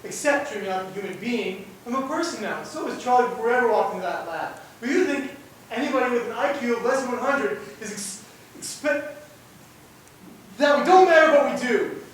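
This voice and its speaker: A man's voice: expressive, fairly quick. A young man acting an anguished, bitter genius in an amateur stage play, with earnest, emphatic delivery. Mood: angry. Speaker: student actor. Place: indoor theatre run-through without audience. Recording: echoey hall, distant mic, rumbling noise.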